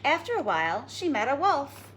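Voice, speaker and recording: A woman schoolteacher giving a read-aloud lesson for children, explaining simply and deliberately. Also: sits high, sing-song, fairly bright tone, a little hiss or hum. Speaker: elementary school teacher.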